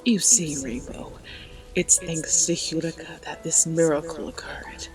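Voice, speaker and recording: Old grandmother tortoise, acted by a woman, unhurried, gentle, medium pitch, music behind, audio drama storytelling. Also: in a whisper, poor audio. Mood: reflective, awed. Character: elderly grandmother pony reincarnated as a tortoise.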